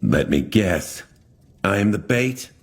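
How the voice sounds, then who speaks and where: A man's voice: on the low side, big pitch swings, takes it slowly, vocal fry, slightly rough, exaggerated voice. A man voicing a wary young man with a dry, sarcastic way of talking.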